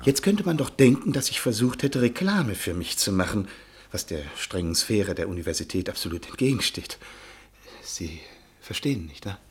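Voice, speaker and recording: An actor playing an earnest, timid university lecturer in a radio play, polite, pedantic and aggrieved. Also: male voice, on the low side, big pitch swings, normal speed, breathy edge, heavily acted. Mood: hesitant, apologetic.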